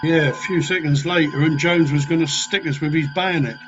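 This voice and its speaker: An actor playing a cheeky, breezy, offhand spiv in a comic radio play. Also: male voice, on the high side, steady tone, a little fast, muffled recording, soft background music, close to an everyday voice. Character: cheeky, unflappable English male spiv.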